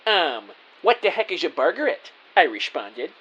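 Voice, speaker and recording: Man as earnest detective narrator, animated, slightly husky. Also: on the high side, moderate pace, muffled recording, faint music behind, exaggerated voice. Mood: hesitant, curious. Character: earnest, naive, excitable male detective narrator. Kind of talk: first-person scripted audio drama narration.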